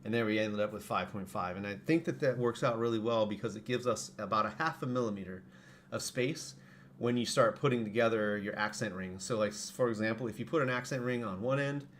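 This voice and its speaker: A man with a hoarse voice, casually explaining his products on a live stream and correcting himself as he goes. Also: on the low side, fairly quick, a bit bright, a little hiss or hum. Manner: rambling, self-correcting. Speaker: streamer and saber parts maker.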